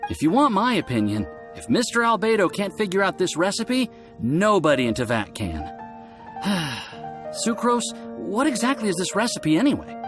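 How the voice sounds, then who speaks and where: A man's voice: high-pitched, wildly swooping pitch, normal speed, music in the background. A man voicing a flustered, discouraged young alchemist's assistant in a video game, hesitant and apologetic. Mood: resigned. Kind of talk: video game character acting.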